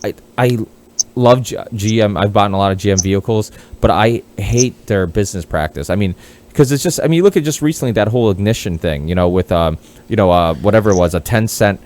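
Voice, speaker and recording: A man's voice: lowish voice, fairly quick, a little mellow. A Portugal-born man hosting a casual podcast, talking in a rambling, profane way with many restarts. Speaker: podcast host.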